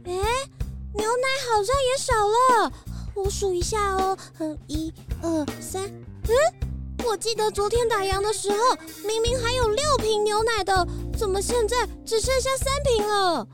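A woman's voice: very high-pitched, moderate pace, over music. A woman voicing a young rabbit shop clerk in a children's audio drama, childlike, frank and emphatic. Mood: puzzled.

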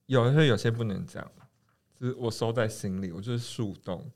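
A man's voice: mid-range voice, a little fast, a little mellow. A man with a Taiwanese Mandarin accent hosting a podcast in casual, joking chat, often repeating his phrases. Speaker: podcast host.

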